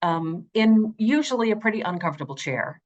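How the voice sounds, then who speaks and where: A woman's voice: medium pitch, animated, brisk, highs cut off. A middle-aged woman giving conversational, emphatic interview answers as an audiobook narrator.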